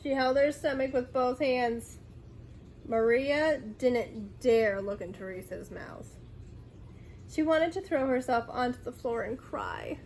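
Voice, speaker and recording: A woman reading a children's story aloud in an expressive, dramatic way. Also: fairly high pitch, slow, slight background noise. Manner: dramatic, acts out dialogue.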